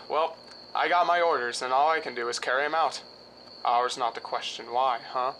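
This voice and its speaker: Casting: actor as casual, clipped male accomplice with quiet menace, bright forceful tone, radio drama, slight noise. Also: medium pitch, steady tone, normal speed, close to an everyday voice, poor audio. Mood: offhand.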